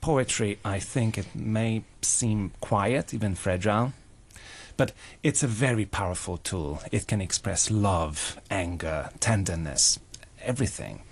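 Earnest Hungarian man, lowish voice. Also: unhurried, slightly rough. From Budapest, Hungary. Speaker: interview guest, actor and playwright. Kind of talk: personal storytelling in a radio interview.

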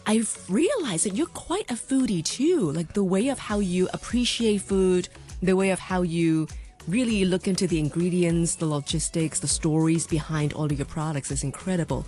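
A woman's voice: fairly low pitch, normal speed, dark tone, soft background music. A woman hosting an interview, chatty and encouraging, with frequent affirmations. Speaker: host.

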